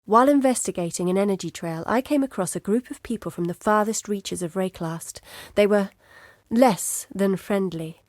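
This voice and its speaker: A woman acting as a determined scholar-adventurer from a fantasy video game. She speaks at a mid pitch, articulate and businesslike, laying out missions in measured phrases. The recording is close and dry. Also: no echo, lightly acted. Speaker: quest-giver character in an action RPG. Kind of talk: scripted game dialogue, briefing.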